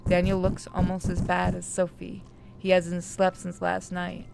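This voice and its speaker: A woman's voice: lowish voice, monotone, a little slow, vocal fry, music in the background. A woman reading a horror visual novel aloud as a scripted narration, with casual asides.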